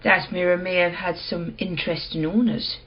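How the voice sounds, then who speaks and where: A husky, fairly low female voice, an actress playing a composed and enigmatic seller of curios in a radio play. Her delivery is understated and calm. The sound is slightly muffled and lo-fi. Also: normal speed. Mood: coolly teasing. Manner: understated, unruffled.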